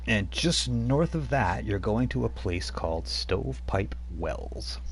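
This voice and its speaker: A deep-voiced man narrating as game master, wandering through his descriptions with loose, offhand asides. A faint hiss runs under the voice. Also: expressive, unhurried. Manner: loose, rambling scene-setting with casual asides.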